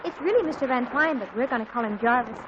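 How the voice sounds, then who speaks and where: An actress playing an excitable, gushing teenage girl in a 1940s radio comedy, heard through a hissy, dull old radio recording. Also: a little high, fast talker, natural voice. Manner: gushing, bubbly.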